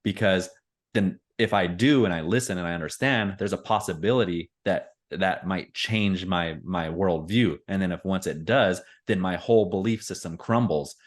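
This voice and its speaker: A man's voice: low-pitched, normal speed. Man in his forties telling stories. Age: 40s. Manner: animated storytelling with acted-out quotes. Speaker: podcast co-host. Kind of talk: casual chat.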